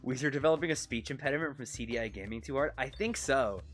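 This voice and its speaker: A man with a Canadian accent chatting casually on a game stream, rambling and talking quickly, seated at his desk close to a mic. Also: mid-range voice, a bit bright, soft background music. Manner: rambling, quick-talking, self-conscious about his pronunciation. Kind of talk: casual live-stream chat over gameplay. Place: seated at his desk, leaning back in a chair. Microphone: desk mic set close to his mouth.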